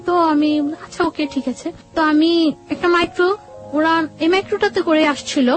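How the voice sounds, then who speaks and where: A woman's voice: extremely high pitch, fairly even intonation, normal speed. A young woman from Bangladesh recounting her life step by step in an interview, often repeating herself. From Bangladesh, Barisal region. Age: young adult. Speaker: guest telling life story. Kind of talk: personal storytelling in an interview. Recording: music playing behind the voice. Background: background music.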